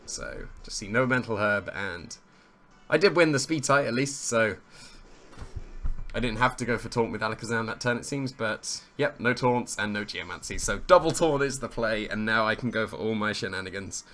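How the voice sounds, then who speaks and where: A man with a slightly hoarse, croaky voice commentates his own competitive match in casual play-by-play, thinking aloud, weighing his options and amused at his own plays. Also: fairly low pitch, lively intonation, moderate pace, a bit bright.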